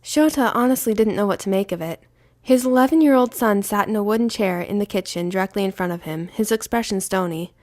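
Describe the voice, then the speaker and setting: A woman's voice: medium pitch, fairly quick, darkish tone. A woman reading scripted fiction aloud as the narrator of an audio story.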